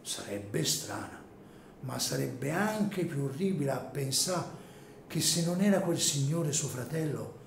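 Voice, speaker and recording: A man's voice: medium pitch, reverberant. Man acting an anxious cemetery caretaker over music. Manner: agitated, hesitant, repeats words. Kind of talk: Italian radio drama acting.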